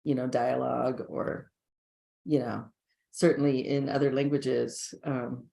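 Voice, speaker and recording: Trainer, casual discussion, relaxed, lots of fillers, mid pitch. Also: a little slow, vocal fry.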